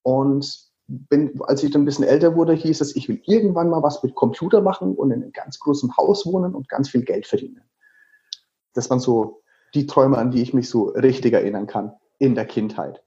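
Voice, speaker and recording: A man in his thirties with a Franconian German accent, talking as an interview guest in a lively, jokey, rambling way with many fillers. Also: mid-range voice, brisk. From Lower Franconia, Germany. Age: 30s. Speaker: guest, travel speaker. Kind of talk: casual interview talk. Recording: slightly dull lo-fi sound.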